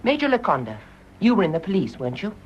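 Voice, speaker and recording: Intense British nurse, widely swinging pitch. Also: female voice, big pitch swings, fast talker, breathy edge. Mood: resolute, formal. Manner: clipped, formal, emphatic. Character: grave, stubborn, wounded nurse making an accusation. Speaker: actress in a radio drama. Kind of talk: radio drama acting. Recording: dull, muffled radio drama recording.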